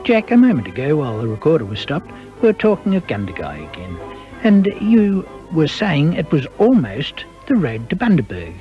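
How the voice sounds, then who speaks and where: A man's voice: fairly high pitch, huge pitch swings, moderate pace. A man putting questions as an interviewer in a formal style. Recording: dull old tape with music.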